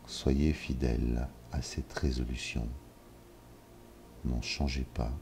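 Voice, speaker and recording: A man leading a yoga nidra session, giving calm, measured instructions with long pauses. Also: extremely low pitch, gravelly, a little hiss or hum. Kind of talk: slow guided relaxation. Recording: soft music behind. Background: soft ambient music.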